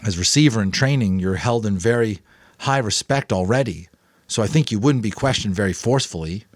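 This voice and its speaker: A man voicing a thoughtful, caring old mentor in a dramatic audiobook, measured and advisory. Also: on the low side, no echo, clean studio sound, natural voice. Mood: advising.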